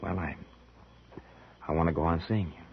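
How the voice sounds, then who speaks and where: Casting: evasive, lovestruck detective, low smooth talker, vintage radio sound, muffled and noisy. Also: male voice, steady tone, normal speed. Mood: confessional. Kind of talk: old-time radio drama acting.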